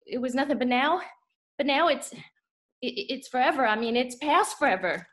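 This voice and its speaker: An actress playing an anxious, devoted daughter in a theatrical monologue, speaking haltingly and emotionally. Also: female voice, sits high, lively intonation, a bit bright. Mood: wistful. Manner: halting, repeating words, emotional. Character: anxious, devoted Southern daughter kept from her mama's bedside.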